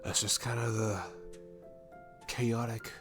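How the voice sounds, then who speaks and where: A man's voice: mid-range voice, flat delivery, slow, music in the background, natural voice. A man playing a sweet, cute barista in an intimate ASMR roleplay, chatting in a rambling, self-deprecating and hesitant way. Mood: soothing, reflective. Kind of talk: intimate ASMR roleplay, soft improvised-sounding chat.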